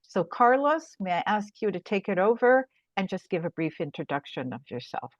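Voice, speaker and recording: A woman's voice: mid-range voice, very animated, normal speed, no room sound, muffled recording. A woman speaking as the host of an academic discussion, introducing things to an audience in a semi-formal way. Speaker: host, professor moderating a discussion. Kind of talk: introducing a guest at an online talk.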